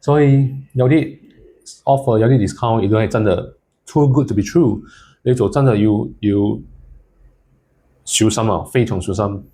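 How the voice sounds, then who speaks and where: A man's voice: lowish voice, moderate pace, pauses often, mellow, slightly rough. A man giving casual advice to viewers as a solo content creator, mixing English words into his speech.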